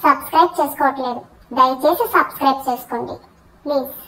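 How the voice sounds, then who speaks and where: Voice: high-pitched, quick pace, fairly bright tone, poor audio. A young-sounding, childlike announcer reading a scripted appeal to viewers of a channel.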